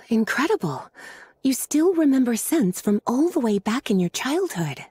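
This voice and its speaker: Gentle teasing witch librarian for a game: soft, dark-toned, lively intonation, faint noise behind. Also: sits high, measured pace. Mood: intrigued. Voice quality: velvety, soft. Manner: nurturing, coquettish, leisurely explanatory. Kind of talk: video game character acting.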